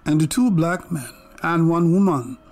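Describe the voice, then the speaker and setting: A man's voice: fairly high pitch, lively intonation, darkish tone, close to an everyday voice. An actor playing a courteous but insinuating underworld businessman in an audio drama, speaking formally and pressing his point. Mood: politely pressing. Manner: formal, measured, politely pressing. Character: smooth, insinuating Harlem numbers-racket businessman, pressing a rival.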